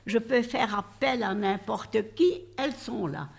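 An older woman from Belgium talks on and on in a rambling, chatty way, full of little fillers. Her voice sits a bit high and has a creaky edge. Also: a little slow. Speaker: nursing home resident, interviewee. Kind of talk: casual interview answers.

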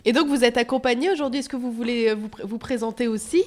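A woman interviewer asking questions slowly and deliberately. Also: a little high, a little mellow.

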